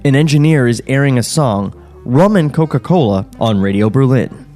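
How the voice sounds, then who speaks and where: A man narrates a screenplay for a podcast in a medium-pitched voice. He reads stage directions and slips into casual commentary. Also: lively intonation, moderate pace, mellow, soft background music. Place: podcast studio.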